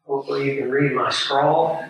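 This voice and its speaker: A man preaching a sermon to a live audience, his voice hoarse and scratchy from the flu, teaching in an earnest, emphatic way with pauses. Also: medium pitch, fairly even intonation. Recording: very echoey room, voice far from mic.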